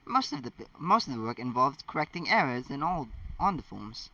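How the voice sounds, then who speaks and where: A man's voice: mid-range voice, very animated, brisk, vocal fry, pushed and bright, full character voice. A man reading a stern, exacting teenage schoolgirl with a formal, officious manner, as in a storybook. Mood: smug, precise. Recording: distant mic, dull lo-fi sound.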